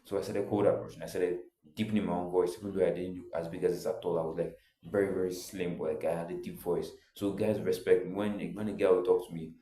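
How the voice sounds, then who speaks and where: A young man tells his own story in a loose, rambling way, leaning on filler words as he goes. His voice sits very low and sounds mellow and soft-edged. The room around him adds a clear echo. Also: steady tone, fast talker, few pauses. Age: young adult. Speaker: vlogger. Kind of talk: casual personal storytelling.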